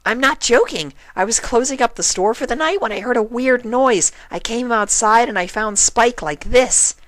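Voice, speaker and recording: A performer voicing a hyperactive, excitable young female cartoon pony in a bubbly, exclaiming manner. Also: medium pitch, moderate pace, no room sound, pitched above the performer's own voice, natural voice. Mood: earnest, pleading.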